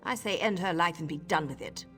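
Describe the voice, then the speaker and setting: A woman voicing a blunt, scornful dark elf assassin in a game. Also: fairly low pitch, a little fast, big-room sound, soft background music, close to an everyday voice.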